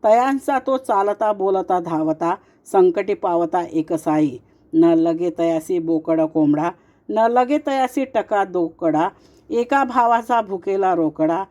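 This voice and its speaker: A woman reads devotional verse aloud at a slow, unhurried pace. Her voice is mellow and fairly low, and she keeps to a steady, sing-song rhythm from couplet to couplet.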